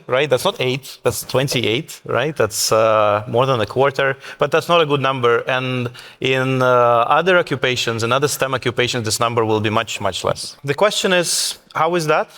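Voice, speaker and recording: A man moderating a panel on stage in a semi-formal, halting way, repeating words for emphasis. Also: mid-range voice, fairly bright tone. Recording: reverberant conference hall.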